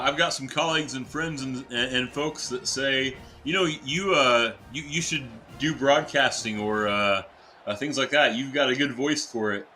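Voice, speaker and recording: A young adult man, a teacher by day, giving casual, excitable live-stream commentary while playing a football video game and chatting with viewers. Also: medium pitch. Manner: excitable, chatty, reacts to plays and reads chat aloud.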